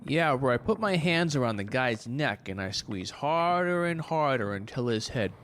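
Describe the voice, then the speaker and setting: An actor playing volatile, mocking men in a theatrical audio drama, with faint noise behind. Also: male voice, mid-range voice, sing-song, normal speed, fairly bright tone. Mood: menacing, mocking.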